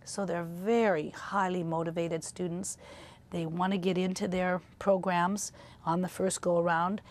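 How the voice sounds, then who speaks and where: Older woman instructor, fairly low voice. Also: little pitch movement, a little slow. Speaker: college biology and anatomy instructor. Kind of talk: informal self-introduction to camera.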